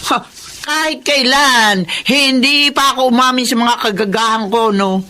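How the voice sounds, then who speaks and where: Spiteful neighbour woman, Filipino accent, scornful, scheming, bright forceful voice, radio drama. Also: fairly high pitch, normal speed. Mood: scornful, gloating. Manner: brazen, scornful.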